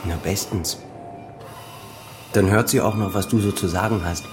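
Man acting a bitter, low-voiced cynical son. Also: steady tone, moderate pace, over music, lightly acted. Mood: sarcastic. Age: middle-aged. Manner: sarcastic, accusing. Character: bitter, cynical grown son confronting his father. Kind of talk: naturalistic German radio drama acting.

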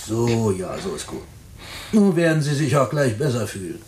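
An actor playing a calm, methodical police inspector in a radio drama, speaking in a measured, explanatory way. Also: male voice, medium pitch, a little hiss or hum, natural voice.

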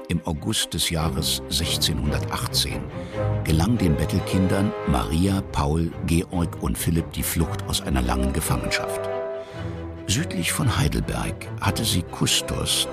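A man's voice: very deep voice, creaky. A man narrating a historical radio play for children in a scripted storytelling style. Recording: loud music under the voice. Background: music.

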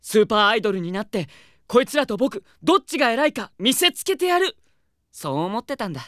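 A cocky anime boy for an audio drama, kinda high, curt n prideful, super dry recording. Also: big pitch swings, fast, no room sound. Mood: proud, bitter. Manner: haughty, boyish, curt speech. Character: proud, prickly boy striving to be an idol. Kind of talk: Japanese anime-style audio drama acting.

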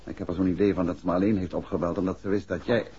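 A man's voice: sits low, steady tone, very fast, close to an everyday voice. An actor playing a composed, courteous male detective in a 1960s radio drama. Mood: easygoing. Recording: muffled hissy vintage radio recording.

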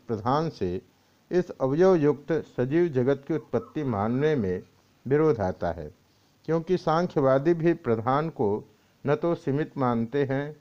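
A man reading scriptural commentary and verse aloud in a deliberate, measured way with pauses. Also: medium pitch, darkish tone. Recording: dull lo-fi recording.

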